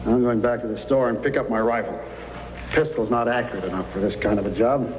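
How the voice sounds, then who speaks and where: Male actor as bossy frontier villain, sly, medium pitch, old radio serial, very noisy recording. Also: fairly even intonation, brisk, darkish tone, in a large hall, lightly acted. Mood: scheming, cold. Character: ruthless, scheming frontier storekeeper and gang boss. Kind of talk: old-time radio adventure acting.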